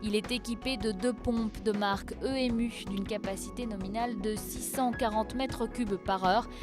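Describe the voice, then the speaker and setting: A woman reads a scripted informational narration in a measured, factual way. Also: medium pitch, fairly even intonation. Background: music.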